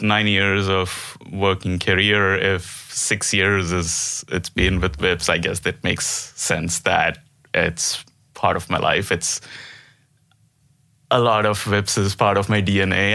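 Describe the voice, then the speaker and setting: A man in his thirties from India talks through his career on a podcast in a deep, slow voice. His tone carries a bright, forceful ring. He hesitates and restarts often, breaking into light laughs. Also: creaky. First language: not Norwegian; Indian language. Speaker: podcast guest, software developer. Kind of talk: casual interview chat.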